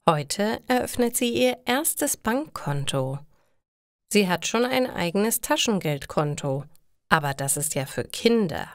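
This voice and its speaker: A woman narrating simple stories for language learners in slow, deliberate, scripted phrasing. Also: mid-range voice, very animated, no echo, clean studio sound.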